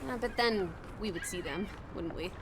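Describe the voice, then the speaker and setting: A woman's voice: medium pitch, moderate pace, background noise, close to an everyday voice. A woman voicing a sociable, playful young travel companion in a video game, with a breezy, wry, mock-dramatic way of talking. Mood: wry, teasing.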